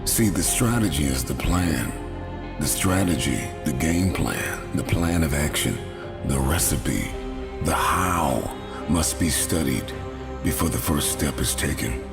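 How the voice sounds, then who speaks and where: Very deep-voiced motivational man. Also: lively intonation, creaky. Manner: emphatic, deliberate, repetitive phrasing. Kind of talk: motivational speech. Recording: music under the voice.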